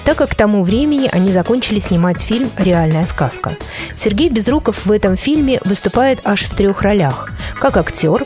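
A woman delivers a scripted radio report in a mid-pitched voice. She adds pointed, wry commentary as she narrates, with music behind her. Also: a little mellow.

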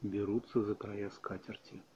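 A man's voice: on the low side, little pitch movement, a little slow, muffled recording, crowd noise. A man narrating an audio play, reading stage directions as scripted narration.

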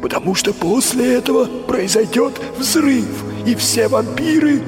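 An actor playing a solemn old wizard in a radio play, speaking in a portentous, drawn-out way. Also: male voice, very high voice. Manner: slow, drawn-out, portentous delivery. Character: solemn old male wizard sending a boy hero questing. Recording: long cavernous reverb, music underneath.

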